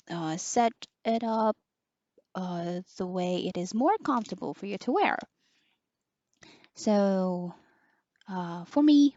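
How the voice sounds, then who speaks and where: A young woman with a soft, low voice, slowly reviewing a headset as an online teacher, pausing often with fillers. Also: dry recording, lo-fi sound. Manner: slow, hesitant, many pauses and fillers. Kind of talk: casual product review.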